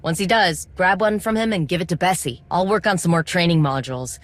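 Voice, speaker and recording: An actress playing a determined, wry droid mechanic in a video game, speaking informally with coaxing, teasing encouragement. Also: female voice, lowish voice, a little fast, close to an everyday voice. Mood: earnest, determined.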